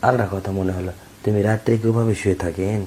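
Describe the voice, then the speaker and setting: A man's voice: fairly low pitch, fairly even intonation, quick pace, dark tone, a little hiss or hum. A man with a Bangladeshi accent having a casual, flirtatious chat on a recorded phone call.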